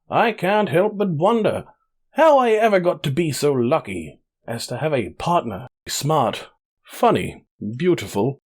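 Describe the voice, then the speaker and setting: A man performs an earnest, lovestruck man in a dramatic letter reading. The delivery is emphatic and formally worded, with lively rises and falls in pitch, proud and easily indignant about his beloved. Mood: adoring, earnest.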